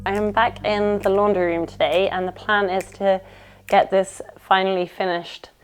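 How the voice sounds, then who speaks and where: Hoarse woman with a cold, chatting. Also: mid-range voice, flat delivery, moderate pace, over music. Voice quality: husky, lost voice. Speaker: vlogger renovating a home. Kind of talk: casual chat to camera while decorating. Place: small indoor laundry room. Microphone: camera on a stand nearby.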